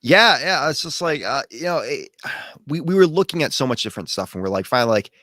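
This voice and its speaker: Man, mid pitch, earnest halting chat, dry sound. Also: big pitch swings, sharp tone, dry recording. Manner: earnest, halting with many fillers. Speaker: co-founder of a livestream show. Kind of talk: casual livestream chat.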